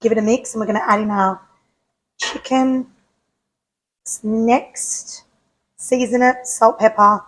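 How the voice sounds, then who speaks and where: Croaky-voiced woman hosting a cooking show. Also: a little high, steady tone, slow, pauses often, fairly bright tone, poor audio. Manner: chatty, asks herself questions and answers them. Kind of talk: casual cooking demonstration.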